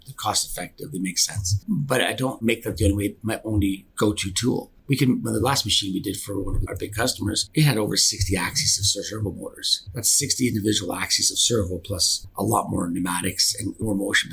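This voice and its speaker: Fast-talking low-voiced man, gravelly edge. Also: fairly bright tone, slight background noise, poor audio. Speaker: guest, engineering company founder. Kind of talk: explanatory interview talk.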